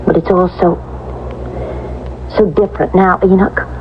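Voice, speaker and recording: A woman's voice: lowish voice, fairly even intonation, a little slow, lightly acted. An American woman acting a spirited, outspoken young rancher's daughter in a radio western, defiant in manner. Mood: upset, firm. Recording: muffled, noisy 1970s radio broadcast.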